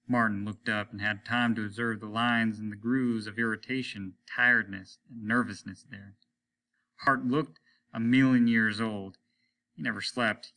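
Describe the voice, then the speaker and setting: A man's voice: fairly low pitch, sharp tone. A man reading a science fiction story aloud as a narrator, in the manner of a teacher reading to a class.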